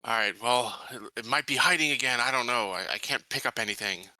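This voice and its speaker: A man's voice: mid-range voice, brisk, bright, forceful tone, no room sound, low-quality recording. A man talking casually as a player at a tabletop roleplaying game.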